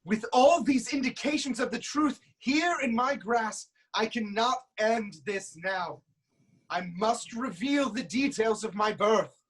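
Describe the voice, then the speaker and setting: A woman playing a proud, stubborn king of ancient Thebes, declaiming deliberately in a theatrical reading. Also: a little high, animated, slightly rough, rough recording. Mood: determined. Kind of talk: theatrical staged reading of Greek tragedy.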